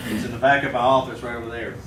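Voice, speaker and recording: An actor playing a watchful, plainspoken US marshal with an American accent in an old-time radio western. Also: male voice, mid-range voice, very fast, a little hiss or hum, natural voice. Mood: easygoing, dry. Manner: steady, plainspoken, dry. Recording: echoey, distant old radio recording.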